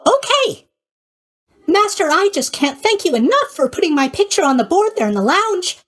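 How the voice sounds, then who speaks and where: A man's voice: very high-pitched, very animated, moderate pace, fairly bright tone, exaggerated voice. A man voicing a boyish, sleepy god of sleep in a video game, with a bubbly, scatterbrained, casually tactless manner. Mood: eager, flustered. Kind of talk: animated video game voice acting.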